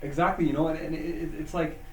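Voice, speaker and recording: A young man from Utah, a guest in a casual interview, talking in a relaxed way, full of fillers. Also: fairly high pitch, fast talker, slight background noise. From Utah, United States. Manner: laughing, relaxed, many fillers. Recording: echoey room, mic at a distance.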